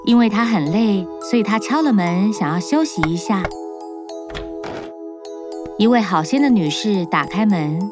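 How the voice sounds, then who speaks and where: A woman narrating a children's fairy tale in an even, clear, mid-pitched voice. She tells the story at a steady storybook pace. Music plays clearly behind her. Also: unhurried, long pauses.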